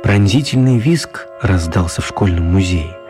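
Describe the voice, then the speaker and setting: A man narrating a children's radio play as an expressive storyteller. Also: deep voice, normal speed, over music. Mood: storytelling.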